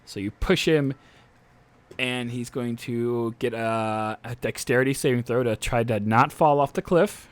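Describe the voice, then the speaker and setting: A man acting as game master, narrating a tabletop game off the cuff and pausing to check rules aloud. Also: mid-range voice, no room sound.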